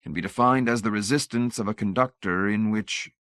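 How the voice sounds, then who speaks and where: A blunt, irritated electronics man, read by a male narrator with a lowish, dry voice. He speaks bluntly and challenges others head-on, his skepticism always close to the surface. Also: brisk, a bit bright, no echo, natural voice. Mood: exasperated. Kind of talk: audiobook character voice.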